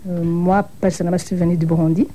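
A middle-aged woman from Rwanda answering interview questions in an earnest, reflective way, with halting restarts. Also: lowish voice, level tone, mellow. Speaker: interview guest, association founder.

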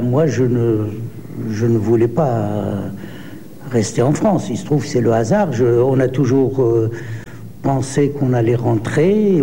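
A man in his seventies, born in Algeria, answering interview questions slowly with many hesitations. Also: medium pitch, mellow, big-room sound, a little hiss or hum. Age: 70s. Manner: slow, hesitant, many pauses and fillers. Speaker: poet.

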